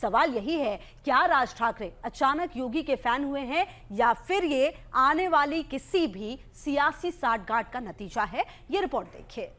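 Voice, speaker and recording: Woman anchor with a high voice. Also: expressive, measured pace, few pauses, a bit bright. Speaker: news anchor. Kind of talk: scripted news presentation.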